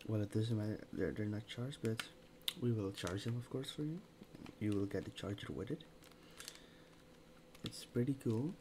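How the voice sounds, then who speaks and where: A young man speaking softly in a hushed ASMR roleplay as a store clerk, with pauses between phrases. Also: lowish voice, background noise. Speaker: ASMR creator playing a game store clerk. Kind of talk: soft-spoken ASMR roleplay. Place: small room at a desk, indoors.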